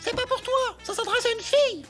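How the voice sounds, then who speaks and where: A woman's voice: extremely high pitch, a little fast, music in the background. A woman voicing a naive, dim and blithely stubborn little schoolgirl in a French cartoon, guileless and matter-of-fact.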